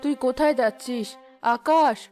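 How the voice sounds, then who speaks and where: A woman voicing a poor, gravely ill village mother in a cartoon drama, plaintive, fatalistic and protective. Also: sits high, normal speed, a little mellow, soft background music, lightly acted. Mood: alarmed.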